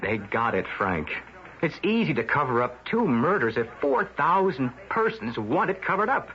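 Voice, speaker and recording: A man's voice: mid-range voice, big pitch swings, moderate pace, lightly acted. An actor in an old-time radio drama playing a stubborn, indignant crusading newspaperman, insistent and suspicious of authority. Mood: determined. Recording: muffled old radio recording.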